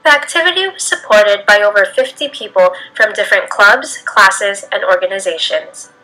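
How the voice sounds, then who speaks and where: A woman's voice: mid-range voice, moderate pace, bright, forceful tone, low-quality recording. A woman reading scripted narration in the style of a short documentary. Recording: music bed behind. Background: background music.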